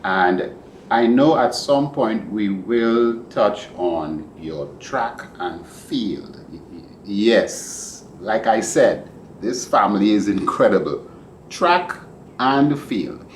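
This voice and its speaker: A man hosting a podcast, interviewing a guest in a casual, rambling and animated way. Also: fairly low pitch, takes it slowly. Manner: animated, rambling, laughs easily. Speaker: podcast host. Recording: echoey room with background noise.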